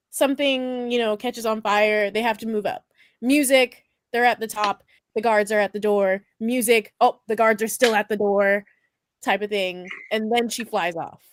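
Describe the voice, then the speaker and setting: A woman's voice: on the high side, no room sound, studio quality. A woman chatting as a podcast panelist in a casual group discussion, explaining her opinions informally. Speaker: podcast panelist reviewing a film.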